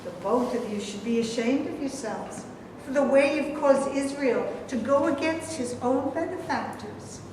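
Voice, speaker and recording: A woman's voice: high-pitched, normal speed, natural voice. A woman reading the part of a stern female religious advisor who lectures formally in a staged reading. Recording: echoey hall from far off, faint noise.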